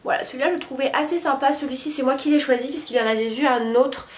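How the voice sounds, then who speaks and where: A woman's voice: high-pitched, fast talker. A woman, chatting casually in a home vlog with a stuffy nose from a cold, hesitating often with fillers. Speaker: vlogger. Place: at home, sitting on her bed.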